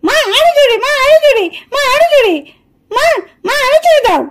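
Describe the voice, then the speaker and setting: A woman's voice: very high voice, animated, fast. A woman voicing a small pleading daughter in a whiny voice for a doll cartoon. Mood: gleeful urging. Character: small pleading daughters calling for their mother. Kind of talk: puppet doll cartoon dubbing.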